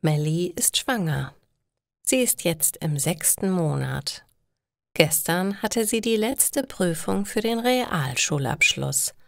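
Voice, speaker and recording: A woman narrator with a slightly low voice reads a story for learners. Her delivery is very slow, careful and deliberate, and the sound is dry and close. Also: gravelly, no room sound. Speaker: narrator of language-learning stories. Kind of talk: scripted narration for language learners.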